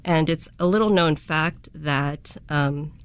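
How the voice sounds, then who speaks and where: A woman's voice: low voice, takes it slowly, clean tone, no room sound. A woman speaking conversationally as a guest in an interview. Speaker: interview guest, community archive volunteer.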